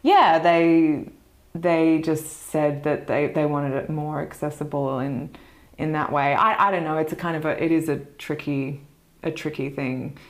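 A woman actress talking as a podcast guest in casual chat, hesitant with fillers and quick to laugh. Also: low voice, fairly even intonation.

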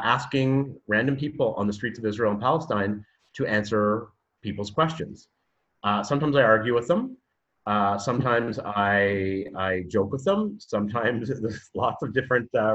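Canadian man, low voice, lo-fi audio. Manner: hesitant, many fillers and restarts, then animated storytelling. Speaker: guest, university programme director. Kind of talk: conversational podcast interview.

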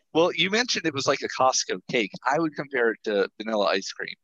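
A podcast co-host drops wry remarks about a film. The voice is bright and animated, and the recording sounds close and dry. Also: medium pitch, a little fast, no echo, muffled recording. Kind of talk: casual movie review chat.

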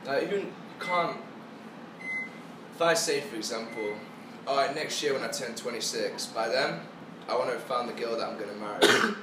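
A man's voice: medium pitch, very noisy. Laid-back young man, distant roomy mic. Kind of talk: casual chat.

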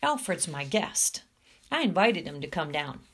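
A woman voicing a loyal, serious teenage boy who urges softly but firmly, in a storybook reading. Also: on the low side, sing-song, measured pace, breathy edge, heavily acted. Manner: soft, firm urging.

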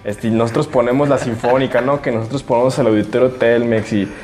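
A man's voice: medium pitch, steady tone, fast talker, darkish tone, faint music behind. A man with a Mexican accent co-hosting a podcast, telling anecdotes in casual conversation. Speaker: podcast co-host.

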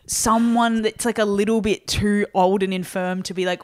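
A young woman co-hosts a casual podcast chat, talking in a dry, sardonic way. She rambles through her thoughts, swearing freely, at a middle pitch. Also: steady tone, moderate pace. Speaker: podcast co-host.

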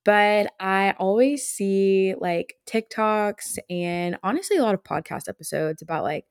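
A woman in her twenties co-hosting a podcast, chatting in a relaxed, reflective way and laughing often. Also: mid-range voice, unhurried, clean tone, no room sound, studio quality. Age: 20s. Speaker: podcast co-host. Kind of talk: casual chat.

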